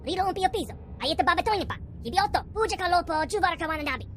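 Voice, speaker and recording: An actor voicing a small excitable alien creature in a video game, squeaky and babbling in a made-up tongue. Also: a little hiss or hum. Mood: agitated chatter. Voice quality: squeaky, cartoonish. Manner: rapid chattering babble. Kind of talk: video game character acting. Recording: music behind the voice.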